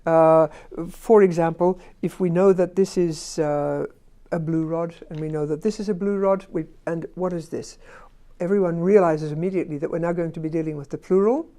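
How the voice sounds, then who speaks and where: A woman's voice: lowish voice, steady tone, moderate pace, warm tone. A woman and language teacher in a conversational discussion, emphatic and asking herself rhetorical questions.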